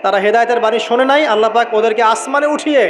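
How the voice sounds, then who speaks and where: A man's voice: very high-pitched, very fast. A Bangladeshi man preaching an Islamic sermon, emphatic, repeating key phrases and putting rhetorical questions to his listeners. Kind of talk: waz sermon to an audience. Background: music.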